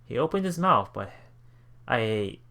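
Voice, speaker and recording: A man hosting a let's play, reading visual novel text aloud haltingly, with casual asides. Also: medium pitch, sing-song, vocal fry, pushed and bright. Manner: halting, stumbles and repeats words while reading.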